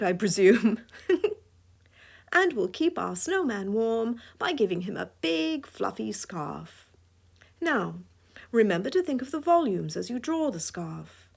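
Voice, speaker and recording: A woman's voice: medium pitch, gravelly, a little mellow, breathy edge. A woman with a scratchy voice giving a drawing tutorial voice-over, playful and chuckling often. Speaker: drawing instructor.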